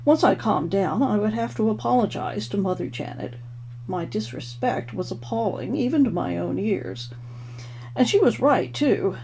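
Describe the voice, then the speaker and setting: A woman's voice: mid-range voice, dark tone. A woman author reading her own first-person novel expressively, as a storyteller. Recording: clearly noisy home recording.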